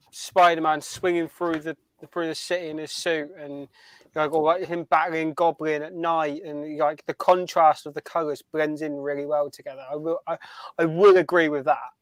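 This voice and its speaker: A man with a fairly high voice is heard in a dry, echo-free recording. He chats casually as a podcast co-host, in an informal, halting manner. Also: level tone, clear, resonant tone. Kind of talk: casual chat.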